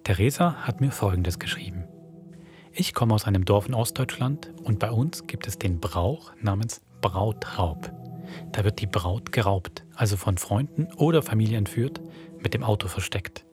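A man's voice: on the low side, expressive, moderate pace, a little mellow, faint music behind. A man narrating a scripted introduction to a horror audio drama as podcast host, reading from a script.

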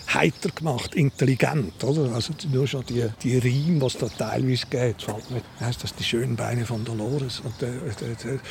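Creaky older Swiss man, reminiscing casually. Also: medium pitch. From Switzerland. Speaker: interview guest. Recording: clear ambient background noise.

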